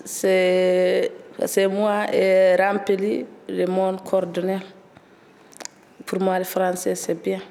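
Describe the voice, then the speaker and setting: A woman's voice: medium pitch, completely flat delivery, drawn-out words, vocal fry, dark tone, in a large hall, slight background noise. A woman learning French, speaking in short, simple sentences in a testimonial. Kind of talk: spoken testimonial.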